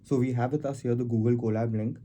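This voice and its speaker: A man hosting a casual software tutorial, explaining things step by step as he goes. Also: mid-range voice, steady tone, fast. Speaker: tutorial host. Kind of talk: casual screen-recorded software walkthrough.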